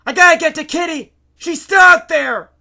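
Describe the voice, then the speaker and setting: A man voicing a frantic young college man shouting urgently in a storybook sea disaster. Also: very high voice, moderate pace, vocal fry, voice pushed up, exaggerated voice.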